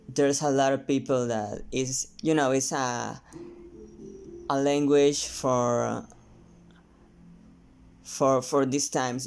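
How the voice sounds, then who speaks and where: A painter from Mexico with a Mexican Spanish accent, his first language Spanish, talking casually and haltingly to camera with many restarts. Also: mid-range voice, vocal fry, a little mellow, clean tone. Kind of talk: casual vlog talk while painting.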